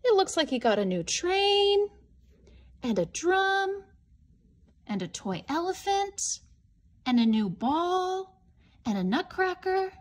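A woman reading a picture book aloud to young children, explaining it simply in an expressive, questioning, child-directed manner. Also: very high voice. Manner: expressive, questioning, with gasps and hushed asides; deliberate, child-directed phrasing. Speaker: storybook reader for young children.

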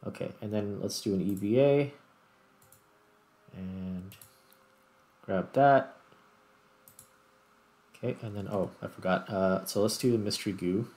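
A man's voice: on the low side, unhurried, pauses often, darkish tone. A man giving casual gameplay commentary, thinking aloud as he plays. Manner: thinks aloud while playing. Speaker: gaming video host.

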